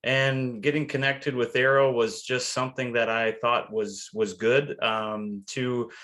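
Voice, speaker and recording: A man's voice: medium pitch, little pitch movement, measured pace, fairly bright tone. A man chatting as a podcast guest in a friendly, self-deprecating way, his talk sprinkled with fillers. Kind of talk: casual podcast chat.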